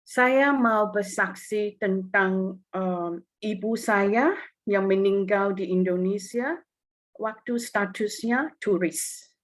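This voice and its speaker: Hong kong lady sharing her testimony at church, earnest, pauses and restarts a lot. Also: medium pitch. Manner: earnest, with hesitations and non-native phrasing. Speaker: testimony speaker.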